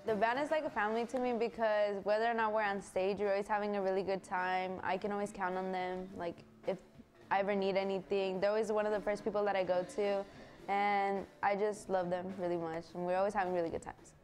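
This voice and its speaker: A young woman talking warmly and enthusiastically about her band in an interview in a dark room. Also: medium pitch, little pitch movement, a little fast, music in the background. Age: young adult. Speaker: musician interviewee. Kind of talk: casual interview.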